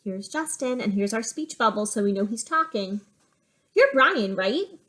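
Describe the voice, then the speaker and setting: A woman's voice: medium pitch, animated, normal speed, a bit bright. A woman teacher reading a picture book aloud to children, with simple explanatory asides.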